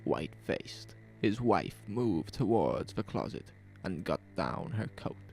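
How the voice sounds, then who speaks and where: A man's voice: sits low, big pitch swings, slow pace, gravelly, darkish tone, soft background music. A man narrating a scripted horror story in a grim, dramatic manner.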